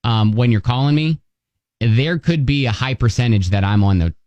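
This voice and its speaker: A man co-hosting a radio show, chatting casually and telling comic personal stories in an animated way, acting out his own thoughts as dialogue. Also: mid-range voice, moderate pace, dry recording, muffled recording.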